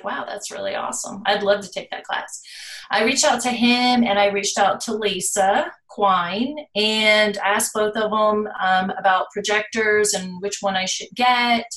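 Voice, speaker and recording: A woman's voice: medium pitch, steady tone, measured pace, fairly bright tone, reverberant. A woman from Virginia in a friendly, chatty podcast conversation. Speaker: hand-lettering artist guest. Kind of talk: casual podcast chat.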